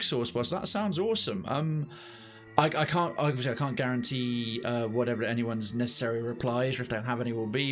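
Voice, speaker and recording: A man doing casual let's play commentary and chatting to viewers in a wry, rambling way. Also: medium pitch. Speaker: game streamer. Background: faint game music.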